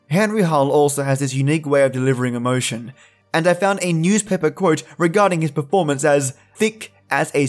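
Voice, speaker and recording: A man hosting a podcast, talking to his listeners in conversational commentary. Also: fairly high pitch. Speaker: podcast host.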